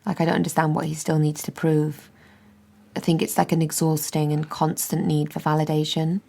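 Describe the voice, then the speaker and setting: A woman's voice: low-pitched, monotone, normal speed, creaky, warm tone. A woman playing a baffled, critical figure in counselling, talking in an exasperated, conversational way. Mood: baffled frustration. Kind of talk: naturalistic audio drama dialogue.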